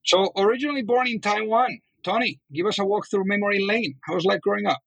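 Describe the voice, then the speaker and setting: A man's voice: high-pitched, fast, creaky, a bit bright, slightly rough, no room sound, rough recording. A man hosting a podcast interview, chatty and full of fillers. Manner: chatty, many fillers like "you know". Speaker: podcast host. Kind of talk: casual interview.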